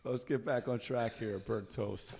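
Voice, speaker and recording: A man's voice: medium pitch, steady tone, measured pace, gravelly, a little mellow, long reverb tail, slight background noise. A man hosting a podcast interview, asking probing questions and steering the talk. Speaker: podcast host.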